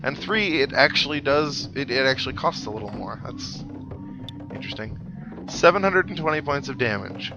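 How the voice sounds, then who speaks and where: A man's voice: medium pitch, expressive, moderate pace, muffled recording. A man giving casual video game playthrough commentary, musing aloud as he thinks through tactics. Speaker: let's player. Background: video game music.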